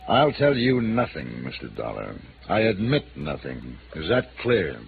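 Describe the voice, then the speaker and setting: Low-voiced, prickly wealthy patriarch. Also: male voice, big pitch swings, a little slow, gravelly, background noise, heavily acted. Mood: indignant, stubborn. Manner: curt, stonewalling, forceful. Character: evasive, prickly wealthy middle-aged father. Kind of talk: 1950s radio detective drama acting. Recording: narrow vintage radio recording.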